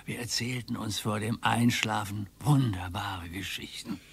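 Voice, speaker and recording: A man's voice: lowish voice, unhurried, gravelly, husky, dry recording, full character voice. An older man playing a needy, sentimental retired husband in a radio play, plaintive and nostalgic. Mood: pleading.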